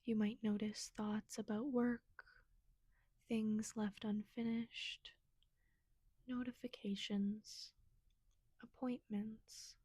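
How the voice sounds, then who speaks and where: A woman leading a guided meditation in a gentle, soothing, soft and breathy manner. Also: fairly high pitch, no room sound.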